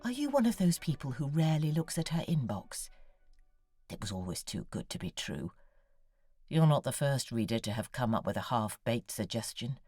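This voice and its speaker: A woman's voice: extremely low pitch, big pitch swings, normal speed, dark tone, no echo, exaggerated voice. An actress playing an anxious, needy, brooding woman novelist in an audio drama, articulate and confiding as she voices her letters. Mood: reproachful, eager.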